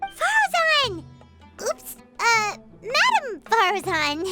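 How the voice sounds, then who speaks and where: A woman voicing a tiny, excitable, cheeky fairy companion with a squeaky childlike voice in an anime game. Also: very slow, exaggerated voice. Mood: surprised, sheepish. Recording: music behind the voice.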